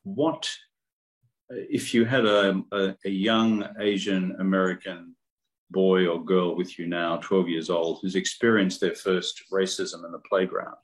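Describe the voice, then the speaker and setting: A man interviewing a guest, asking his questions in a measured, deliberate way. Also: sits low, level tone, poor audio.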